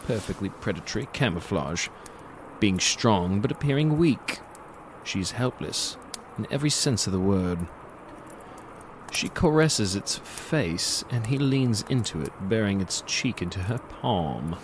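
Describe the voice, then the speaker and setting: An actor playing a gloating predatory monster who narrates a dark fantasy audio drama in a theatrical, savoring, sardonic manner. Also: male voice, fairly low pitch, expressive, unhurried, a little hiss or hum. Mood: dark, mocking.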